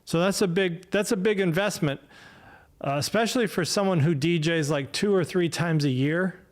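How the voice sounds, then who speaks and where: A man's voice: high-pitched, moderate pace. A man in his fifties talking casually on a solo livestream podcast, rambling and self-deprecating, with many fillers and restarts. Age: 50s. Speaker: podcast host. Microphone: desk mic, no headphones.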